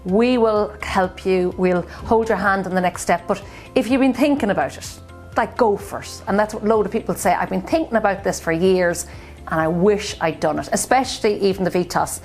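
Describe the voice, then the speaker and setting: A woman's voice: mid-range voice, normal speed, faint music behind. A woman, a guidance counsellor, talking in an encouraging, upbeat way. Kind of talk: interview.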